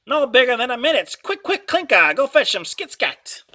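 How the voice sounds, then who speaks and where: A man's voice: very high voice, very animated, moderate pace, pushed and bright, voice pushed up, full character voice. A man voicing a crafty, cackling old witch woman with a screechy voice, fierce yet kindly, from a children's storybook. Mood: gleeful, bossy.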